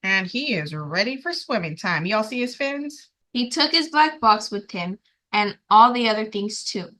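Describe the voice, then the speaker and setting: A woman reading a story aloud to children in an expressive, emphatic way, addressing young listeners directly. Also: mid-range voice, unhurried.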